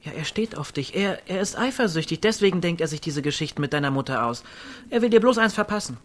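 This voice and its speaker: A man's voice: on the high side, lively intonation, quick pace, slight background noise, lightly acted. Hesitant teenage boy played by a man. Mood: soothing. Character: reluctant village teenage boy, pliable and uneasy. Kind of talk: naturalistic radio drama acting.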